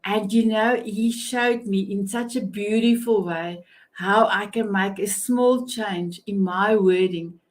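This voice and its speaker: A woman's voice: mid-range voice, slow pace. South African woman hosting a talk show. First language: Afrikaans. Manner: effusive, complimentary. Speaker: host of an online talk show. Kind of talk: hosting a panel conversation.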